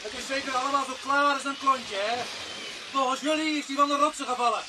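Brusque sarcastic police sergeant, radio drama, very high male voice, clearly noisy recording. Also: steady tone, fast. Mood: brusque, skeptical. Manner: challenging, sarcastic. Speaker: actor in a radio play. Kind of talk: Dutch radio drama acting.

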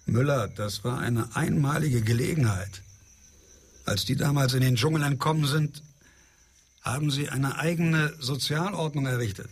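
An actor in a German radio drama playing a frustrated, self-justifying male scientist who lectures with a husky voice. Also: mid-range voice, normal speed. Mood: fascinated, lecturing. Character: defensive, frustrated male scientist leading an island experiment.

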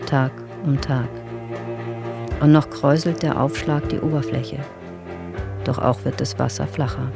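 A performer playing a solemn reader of last notes in a radio play, with a gravelly voice and measured, poetic delivery. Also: mid-range voice, fairly even intonation, over music. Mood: mournful, resigned.

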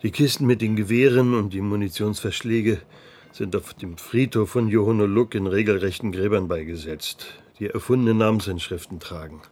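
A man's voice: fairly low pitch, moderate pace, darkish tone, close to an everyday voice. An actor playing a frightened, cautious village headman in a radio play. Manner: anxious, cautious, hesitant.

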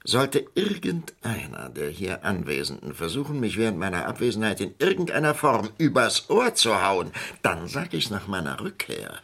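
An actor playing a grumpy, domineering heavyset businessman in a comic crime radio play, gruff and imperious. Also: on the low side, wildly swooping pitch, moderate pace, few pauses, a bit bright, heavily acted. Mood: threatening. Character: heavyset, grumpy, domineering businessman staging his own death.

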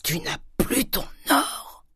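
A woman's voice: deep voice, sing-song, normal speed, warm tone, husky, no room sound, studio quality, exaggerated voice. A woman voicing a greedy, explosive old French father in an audiobook, coaxing and exclaiming. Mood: outraged. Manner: coaxing, exclamatory, gold-obsessed. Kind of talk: audiobook character acting.

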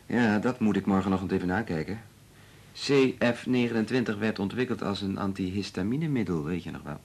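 An actor playing a modest, loyal and cautious research chemist in a Dutch radio play, in a mild, hesitant, measured manner. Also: male voice, on the low side, darkish tone, slightly rough. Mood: cautious, reasonable.

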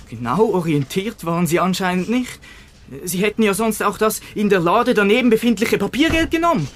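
An actor playing a shaken young male bank apprentice giving an eyewitness account in a German radio drama, over a noisy recording with clicks. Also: sits high. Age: young adult.

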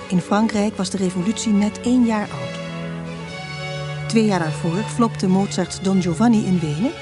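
A woman narrating a radio drama as a scripted story, in a measured, formal manner. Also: medium pitch.

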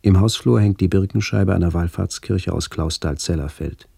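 A reflective middle-aged man tells a literary radio story. His voice is very low and husky, with a gravelly edge, and his delivery is measured and contemplative. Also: warm tone, dry recording, studio quality, exaggerated voice. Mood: pensive. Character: reflective man visiting his ageing family. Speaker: narrator. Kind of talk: literary radio play narration.

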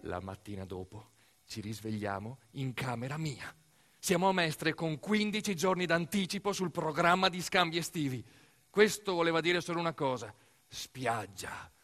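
A man's voice: on the high side, fairly bright tone. A man performing a theatrical storytelling monologue as actor-narrator, speaking dramatically in short phrases. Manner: dramatic, short phrases, as a boy recalling family. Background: music.

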